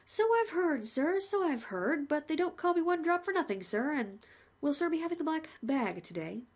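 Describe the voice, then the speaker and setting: A woman voicing a cheerful, chatty male hangman who talks shop politely and brightly. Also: very animated, normal speed. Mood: cheery. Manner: cheerful, chatty, higher-pitched.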